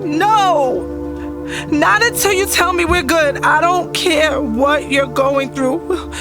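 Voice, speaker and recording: A high, youthful female voice over music as an actress performs a heartbroken young woman in a radio-style drama. She pleads insistently with the man she loves, refusing to let go. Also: takes it slowly. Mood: desperate pleading. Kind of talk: audio drama acting with first-person narration.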